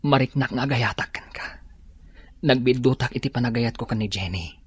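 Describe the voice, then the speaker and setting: A man playing an earnest, tender young suitor in a radio drama, speaking softly and persuasively. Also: mid-range voice, fairly quick, slightly rough. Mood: tender, confessing. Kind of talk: radio drama dialogue acting.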